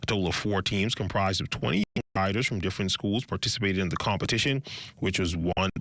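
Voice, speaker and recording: A man presents sports news from a script. His voice sits low and is recorded dry, with no room around it. He phrases formally and with measure, setting off key words like a practiced newsreader. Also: fast talker, a bit bright, no room sound.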